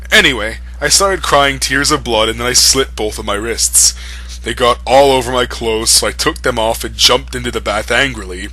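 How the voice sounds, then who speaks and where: A man's voice: on the low side, bright, forceful tone, breathy edge, low-quality recording. A man reading fan fiction aloud for comedy, breaking in with mocking, amused asides. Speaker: reader.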